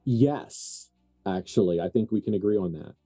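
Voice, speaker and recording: A man with a very dark, soft-edged, lowish voice narrates a tabletop horror game. He improvises as game master in deliberate, halting phrases, with faint music underneath. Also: husky.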